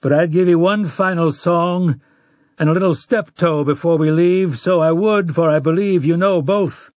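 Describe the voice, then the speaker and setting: A man voicing a weary, courtly older gunslinger who speaks in old-fashioned formal phrases, with a husky voice. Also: on the high side, moderate pace, darkish tone, no room sound, pitched above the performer's own voice, lightly acted. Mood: warm, formal.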